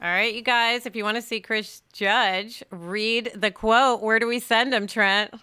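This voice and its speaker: A woman's voice: fairly high pitch, steady tone, unhurried, pushed and bright, clean tone, no echo, studio quality. A woman hosting a podcast, talking in a casual conversational style. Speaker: podcast host.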